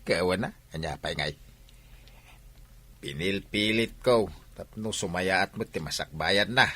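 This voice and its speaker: A man's voice: sits low, unhurried, bright, forceful tone, exaggerated voice. An actor playing an insistent older father arranging a marriage in an Ilocano radio drama, with an Ilocano accent. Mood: persuading.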